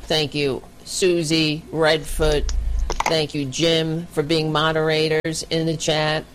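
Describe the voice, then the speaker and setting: A woman with a very low, deep voice hosts a casual online show over a video call. She talks bluntly and swears freely. A faint hiss of background noise sits under her voice. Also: little pitch movement, takes it slowly. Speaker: podcast host. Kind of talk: casual livestream chat.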